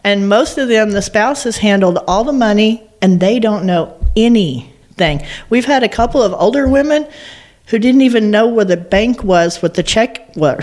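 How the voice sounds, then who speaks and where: A woman of middle pitch, a guest on a local business radio show. She speaks plainly and earnestly, in a down-to-earth way. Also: expressive, moderate pace, darkish tone. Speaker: financial business owner, guest. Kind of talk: casual interview.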